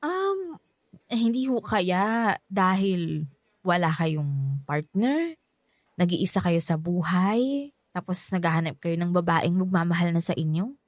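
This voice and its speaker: A woman's voice: on the low side, very animated, measured pace, pauses often, no echo. A woman acting a lovestruck, insistent younger woman in a Filipino radio drama, coaxing and fussing in a Filipino accent. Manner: pleading, coaxing, playfully fussy. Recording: soft music underneath. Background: background music.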